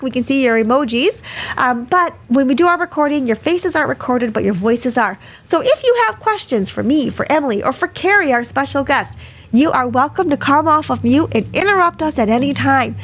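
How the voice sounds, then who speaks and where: A woman hosting a live webinar, a little hoarse from a cold, who talks in a chatty way and greets many attendees by name. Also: sits high, lively intonation, a little fast. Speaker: webinar host. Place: small studio. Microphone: studio mic.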